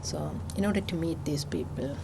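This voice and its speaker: A middle-aged woman from Malaysia, a librarian, speaking softly and hesitantly in a documentary interview. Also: low-pitched, expressive, moderate pace, creaky. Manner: soft-spoken, hesitant with many ums. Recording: noisy street background.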